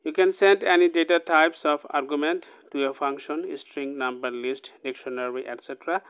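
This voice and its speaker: A man's voice: on the high side, fairly even intonation, moderate pace, a bit bright, clean tone, lo-fi sound. A man teaching a programming lesson, reading code aloud line by line and often checking in with "okay?". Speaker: programming tutor. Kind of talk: casual screen-recorded coding lesson.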